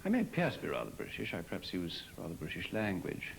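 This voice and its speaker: Deep gravelly man, formal and hesitant. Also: wildly swooping pitch, faint music behind, rough recording. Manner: formal, hesitant, choosing words with pauses. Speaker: interviewee. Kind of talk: measured interview reflection.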